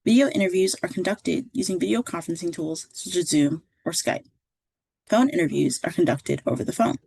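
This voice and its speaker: A woman reading a scripted instructional narration as a career services presenter, in a clear, measured, instructive way. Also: lowish voice, animated, darkish tone, dry recording, rough recording.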